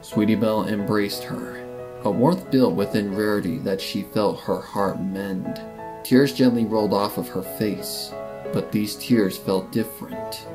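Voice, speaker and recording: Narrator for dramatic fan fiction, scripted read with music clearly underneath. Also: deep voice, fairly even intonation, measured pace, darkish tone, poor audio. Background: clear background music with guitar.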